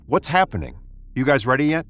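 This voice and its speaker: An American actor playing a brusque, impatient middle-aged spaceship commander in a 1950s radio drama, commanding and sardonic. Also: male voice, mid-range voice, wildly swooping pitch, brisk, fairly bright tone. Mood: brisk, impatient.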